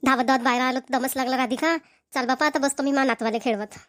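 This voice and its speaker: Woman voicing a high-pitched, affectionate village woman. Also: fairly even intonation, fast, fairly bright tone. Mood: fond, chatty. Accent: rural Maharashtrian. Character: affectionate village women, doting grandmother and dutiful daughter-in-law. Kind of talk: Marathi family cartoon voice acting.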